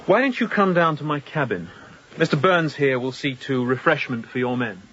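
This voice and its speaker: An actor playing a composed, courteous young sea captain in a radio drama, speaking in a measured, polite tone with quiet authority. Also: male voice, mid-range voice, animated, highs cut off. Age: young adult.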